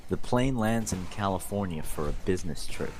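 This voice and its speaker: A man with a very low voice reads amateur fiction aloud in a theatrical, mock-serious way. The recording has clear background noise, as heard over a group voice-chat stream. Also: animated, moderate pace. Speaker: reader of fan fiction. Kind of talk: dramatic storybook-style reading. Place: online voice chat during a live stream.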